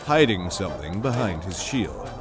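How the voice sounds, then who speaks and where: A man's voice: low voice, very animated, normal speed, loud music behind the voice, a loud crowd. A man delivering scripted, dramatic narration as the narrator of an audio drama.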